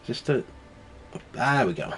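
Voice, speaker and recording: A man's voice: medium pitch, sing-song, takes it slowly, noisy background. A man with a cold, sounding snuffly, giving rambling, wry commentary as he plays a video game. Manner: rambling and wry, with frequent laughs. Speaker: let's play host. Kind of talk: casual gameplay commentary.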